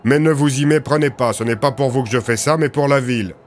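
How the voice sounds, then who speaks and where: A man's voice: mid-range voice, quick pace, faint music behind, lightly acted. A man playing a stern lawman in an audio drama, dry, firm and formal, with a sarcastic, threatening edge. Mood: stern, warning.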